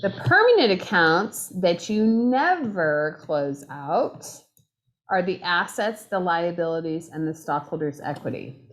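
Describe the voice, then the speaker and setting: A woman's voice: on the low side, very animated, a little slow. Woman lecturing while losing her voice. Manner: conversational, checks in with "right?" and "okay?". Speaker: accounting instructor. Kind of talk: recorded lecture.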